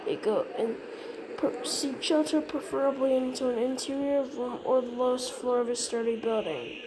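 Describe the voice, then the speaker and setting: A woman reading a scripted mock emergency alert as a broadcast announcer, sometimes halting and repeating words. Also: high-pitched. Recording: noisy recording with steady background noise.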